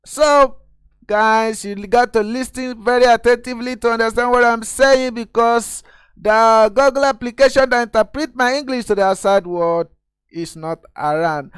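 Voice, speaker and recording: A man's voice: very high-pitched, normal speed, fairly bright tone, clear, resonant tone, no echo. A man from Nigeria, host of his own channel, explaining a video editor step by step in a screen-recorded tutorial and calling viewers guys.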